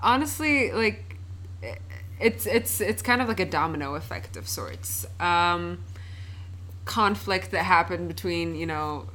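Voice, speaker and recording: A young woman from Palestine speaks as a podcast guest in a low-set voice. She talks in an earnest, thoughtful way, pausing on fillers as she works out her answers. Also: lively intonation, pushed and bright. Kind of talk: casual interview conversation.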